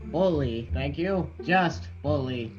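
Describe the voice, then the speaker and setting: A man's voice: lively intonation, slow. An actor playing a cheerful grown man who believes he is a president, in an amateur audio drama. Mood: hearty. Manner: booming, cheerful declarations. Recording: dull lo-fi recording with music.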